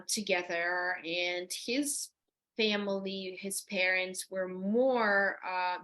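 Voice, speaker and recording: A young woman from Ukraine with a Ukrainian accent, a university student explaining her experience in an earnest interview with frequent pauses. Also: medium pitch, fairly bright tone. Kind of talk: conversational interview.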